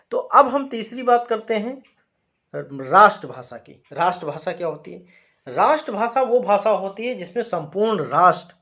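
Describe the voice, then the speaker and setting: A man from India teaching a lesson, explaining slowly and repeating key points in an emphatic teacher's way. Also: high voice, fairly bright tone, highs cut off. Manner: repetitive, emphatic teacher phrasing. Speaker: educator.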